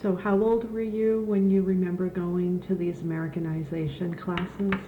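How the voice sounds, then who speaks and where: Female oral history interviewer, lowish voice, roomy and tinny sound. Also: level tone, normal speed, a little hiss or hum. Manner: brief questions and quiet back-channel murmurs.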